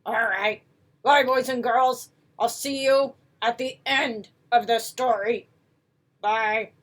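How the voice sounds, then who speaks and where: A woman's voice: on the high side, steady tone, takes it slowly, fairly bright tone. A woman voicing a grumpy bear who talks to children in short, grudgingly polite phrases. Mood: reluctantly obedient. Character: grumpy bear puppet-like character greeting children.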